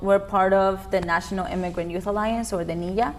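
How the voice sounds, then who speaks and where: A woman's voice: medium pitch, fairly even intonation, a little fast, a little hiss or hum. A young woman from Peru, a youth immigrant activist, giving earnest interview answers with frequent ums. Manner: earnest, many fillers.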